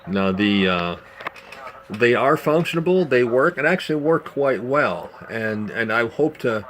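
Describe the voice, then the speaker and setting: A man giving a casual, unscripted show-and-tell demonstration in a measured, explanatory way, pausing as he goes. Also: mid-range voice, lively intonation, fairly bright tone. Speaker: vintage radio collector presenting a demonstration. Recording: clearly noisy amateur home video.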